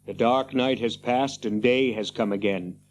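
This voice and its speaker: An actor playing a noble, grave lord of horsemen in a radio fantasy drama, speaking in stately, formal phrases. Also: male voice, mid-range voice, measured pace, lightly acted. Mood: solemn, relieved. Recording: muffled recording with faint noise.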